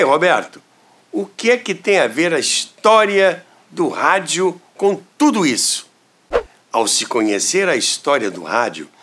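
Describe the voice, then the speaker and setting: A Brazilian man narrates as a show host with highly animated intonation and a slightly high, husky voice. His phrasing is deliberate and dramatic, like a written script delivered rhetorically. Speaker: host of a radio history show. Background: music.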